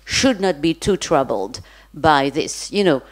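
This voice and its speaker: A woman with a fairly low voice speaks from the audience at an academic panel. She works through her point thoughtfully, restarting and circling back with plenty of fillers. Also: lively intonation, unhurried. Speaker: audience member asking a question. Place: conference hall.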